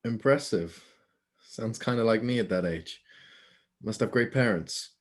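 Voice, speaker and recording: A man playing a proud, firm husband and judge in a screenplay table read, speaking deliberately. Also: lowish voice. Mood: polite, grateful.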